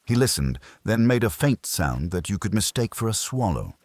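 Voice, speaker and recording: A man narrating a first-person detective story in a measured, dramatic storytelling way, with a husky low voice. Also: sing-song, no echo. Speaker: audiobook narrator.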